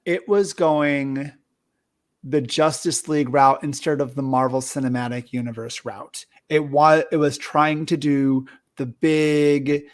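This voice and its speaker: A man, likely middle-aged, hosting a casual movie discussion, talking haltingly and often rephrasing himself. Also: on the high side, fairly even intonation. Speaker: host of a movie review show.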